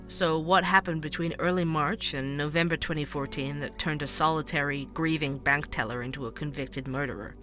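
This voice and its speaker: A man's voice: on the high side, bright, forceful tone. A man playing an inquisitive, earnest true-crime podcast host, delivering scripted narration.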